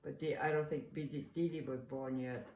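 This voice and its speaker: An older woman, a mother casually sharing family memories in a halting, conversational way. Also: a bass voice, steady tone, a little mellow. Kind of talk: casual oral-history reminiscence. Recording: echoey, distant mic, faint room noise.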